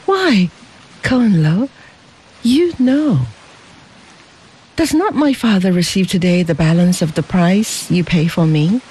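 A reader voicing a gentle, devoted young wife in a storybook, speaking softly, tenderly and earnestly. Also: medium pitch, animated, slow, long pauses, slight background noise.

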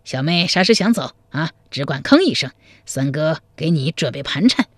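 A woman voicing a hearty, generous male host in a storytelling radio serial, speaking brisk and open. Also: sits low, animated, no room sound.

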